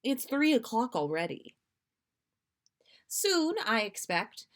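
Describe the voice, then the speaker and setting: A harried mother figure from a story, as a woman reader puts her on. She is pitched up, snappish and worried, yet kindly underneath. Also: big pitch swings, slow. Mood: brisk.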